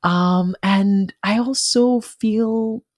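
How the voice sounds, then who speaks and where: A woman who grew up in Nigeria, a guest author talking reflectively in an interview, with frequent pauses and restarts. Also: mid-range voice, darkish tone, no echo, clean studio sound. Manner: thoughtful, halting, many restarts and fillers.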